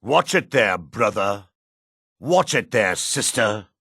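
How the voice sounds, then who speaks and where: An actor playing a proud, hard-edged male dark elf in a fantasy game, with a husky voice and a cool, self-assured manner. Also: big pitch swings, takes it slowly, no echo, clean studio sound, full character voice. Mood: curt. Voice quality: husky, low. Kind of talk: fantasy game character lines.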